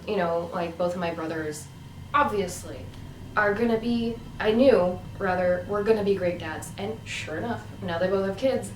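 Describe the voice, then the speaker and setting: Female vlogger, low-ish voice, rambling casual chat; roomy, distant sound. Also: a little hiss or hum. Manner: chatty, many fillers and restarts. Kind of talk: casual storytelling vlog. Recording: echoey room from a distance with faint ambience.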